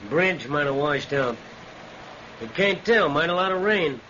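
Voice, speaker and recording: An actor playing a callous, laconic hired farmhand with a rural Southern American accent and a menacing air in a vintage radio drama. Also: male voice, on the high side, lively intonation, a little slow, sharp tone. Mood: indifferent. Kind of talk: 1940s radio drama acting. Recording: muffled, noisy old radio recording.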